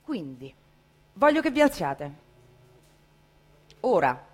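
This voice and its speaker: A woman reciting a dramatic theatrical monologue in an impassioned, emphatic way, with deliberate pauses. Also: medium pitch, very animated.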